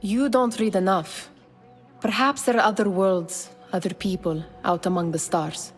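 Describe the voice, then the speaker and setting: A woman plays a dreamy, curious young companion in a video game cutscene. She sounds playful and full of wonder at a medium pitch, with long reverb and light music around her. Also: fairly even intonation, measured pace, lightly acted. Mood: wondering, teasing.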